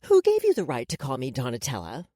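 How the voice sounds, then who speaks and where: A woman's voice: very deep voice, wildly swooping pitch, fast, dark tone, no room sound, studio quality, heavily acted. A woman playing a menacing, mocking, commanding woman in charge, as audiobook dialogue. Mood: cold, mocking.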